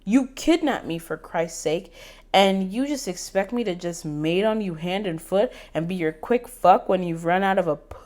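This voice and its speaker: A woman's voice: on the high side, big pitch swings, takes it slowly, a little mellow, pitched above the performer's own voice. A woman playing a fiery, sassy young heroine. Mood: furious. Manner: sassy, outraged, emphatic.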